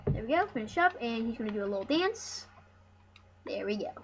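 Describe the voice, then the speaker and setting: An excitable, rambling host giving casual, jokey commentary over a video game. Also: mid-range voice, fairly quick. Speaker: host of a gaming channel. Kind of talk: casual let's play commentary. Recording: dull lo-fi mic with loud noise.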